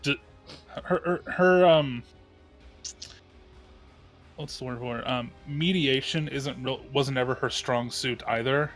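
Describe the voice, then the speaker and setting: A man's voice: mid-range voice, takes it slowly, pauses often, fairly bright tone, faint music behind. A man playing a tabletop game, talking casually and searching for his words as he explains his character. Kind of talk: casual roleplay table talk.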